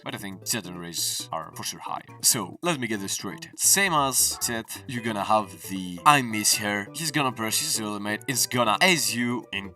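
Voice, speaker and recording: A man's voice: lowish voice, pushed and bright, distant mic. A man giving casual, opinionated gaming tier-list commentary in a conversational way. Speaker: video creator. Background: video game music.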